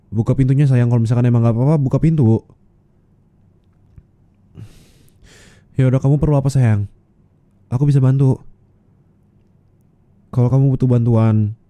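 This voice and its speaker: A man playing a gentle, caring husband in an ASMR roleplay, speaking in a tender, coaxing, reassuring way. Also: mid-range voice, no echo, pitched above the performer's own voice, natural voice. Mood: worried, tender. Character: gentle caring young husband comforting his distressed wife.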